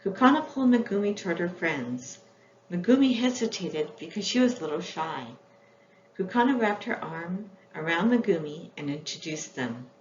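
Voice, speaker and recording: A woman's voice: on the low side, animated, normal speed. A woman reading scripted children's storybook narration as its author. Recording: echoey room, dull sound, faint noise.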